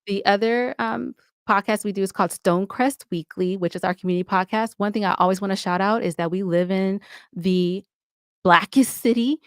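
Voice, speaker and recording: A woman's voice: mid-range voice, brisk, clear, resonant tone, no echo, clean studio sound. A middle-aged woman talking casually as a podcast guest, chatty and candid, with playful asides. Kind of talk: casual interview talk.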